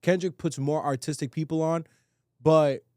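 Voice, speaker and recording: A man's voice: a little high, fairly even intonation, normal speed, a little mellow, no room sound, clean studio sound. A man talking casually and with opinions as a podcast co-host, slangy and emphatic, swearing and repeating phrases for weight.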